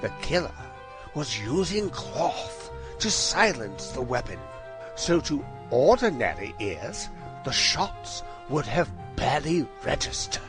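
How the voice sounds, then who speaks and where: An actor playing a brilliant, self-assured Victorian gentleman detective in an audio drama, with a precise, formal and theatrical delivery. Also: medium pitch, big pitch swings, slow, music in the background. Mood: self-assured, explanatory.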